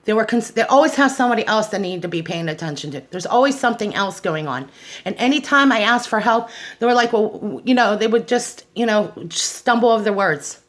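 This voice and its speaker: A woman's voice: mid-range voice, expressive, a little fast. Outspoken, aggrieved American woman. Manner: outspoken, aggrieved, emphatic, repeating phrases for stress. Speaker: host of a personal awareness show. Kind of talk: unscripted personal monologue, testimonial.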